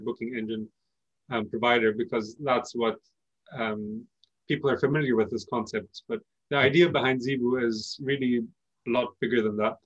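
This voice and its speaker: A man whose first language is Persian speaks as a founder in an interview. His pitch is average and he explains things conversationally, pausing mid-phrase as he goes. The recording is dry and slightly dull. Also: no room sound. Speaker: company founder being interviewed.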